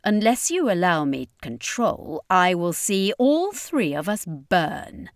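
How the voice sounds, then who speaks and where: A woman's voice: fairly low pitch, sing-song, slow pace, no room sound, clean studio sound. A woman voicing a bitter, proud male vampire king in a fantasy audiobook, defiant and threatening.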